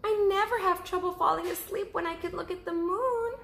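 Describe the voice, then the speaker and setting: A woman voicing a small, restless bear cub who pleads in a high, loud voice. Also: fairly quick, echoey room. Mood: pleading.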